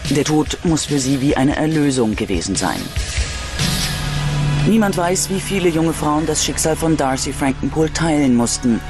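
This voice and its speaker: A man reading scripted true-crime documentary narration in a measured, dramatic storytelling manner. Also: on the high side, animated. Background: music bed.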